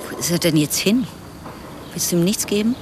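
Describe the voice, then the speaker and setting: A woman playing a practical, calming mother in a naturalistic radio drama. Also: lowish voice, a little fast, noisy background, close to an everyday voice.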